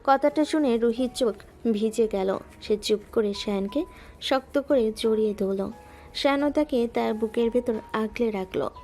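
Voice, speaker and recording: Lady narrating a love story, mellow and calm, light music in the back. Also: a little high, measured pace.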